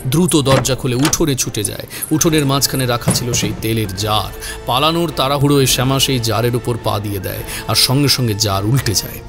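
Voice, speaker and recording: A man's voice: mid-range voice, a little fast, over music. A man narrating a scripted horror story in a dramatic style.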